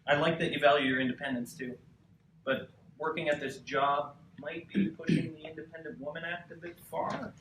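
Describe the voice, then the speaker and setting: A man performs as a slick, self-satisfied man of about forty in a table read. He is smooth and condescending, in a reverberant room with clear background noise. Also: on the high side, fairly even intonation, normal speed, natural voice. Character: slick, arrogant forty-ish boyfriend. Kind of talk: live table read of a novel. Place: cramped bookstore event, live. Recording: echoey live bookshop, clearly noisy.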